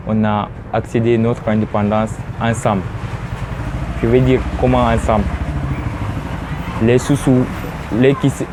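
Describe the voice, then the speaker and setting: A man from Guinea with a Guinean French accent speaking in a solemn, deliberate way. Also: medium pitch, noisy background. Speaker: interviewee.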